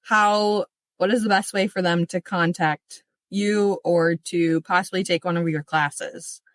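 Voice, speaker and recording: A woman's voice: on the low side, fairly even intonation, a little slow, dry recording. A woman hosting a podcast who gives short, encouraging reactions and asks her guest questions. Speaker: podcast host. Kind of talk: casual podcast interview.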